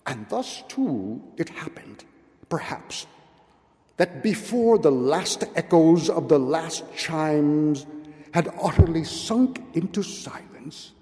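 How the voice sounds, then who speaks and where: A man giving a dramatic, theatrical reading of gothic horror, with drawn-out words, pauses and hushed drops. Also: fairly high pitch, expressive. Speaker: literary reader. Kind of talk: dramatic literary recitation of a gothic horror tale. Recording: long hall-like reverb.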